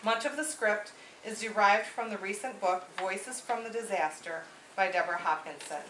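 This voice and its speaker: A woman's voice: medium pitch, moderate pace, a bit bright. A woman acting as host of a library event, introducing a readers theater performance. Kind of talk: informal introduction, then read aloud script. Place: indoor library event room. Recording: echoey room with faint noise.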